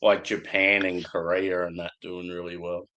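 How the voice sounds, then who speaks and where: A man talks in a relaxed, blunt way and swears without a second thought. His voice sits on the low side. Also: moderate pace, lo-fi sound. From Australia. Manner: laid-back, blunt, swears freely. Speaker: beer reviewer. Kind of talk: casual chat.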